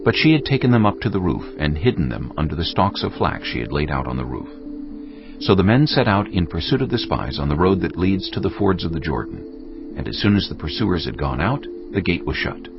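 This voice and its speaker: Man, narrator, gravelly, measured dramatic storytelling, music bed, slightly dull recording. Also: low voice, very animated. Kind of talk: scripted dramatic Bible narration.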